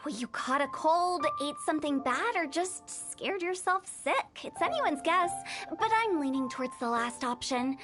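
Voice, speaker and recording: A very high, girlish voice over prominent music: a mischievous young funeral director from a video game. She is playful and theatrical, talking like a teasing show-woman. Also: expressive, a little slow. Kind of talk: video game character acting. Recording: music behind the voice.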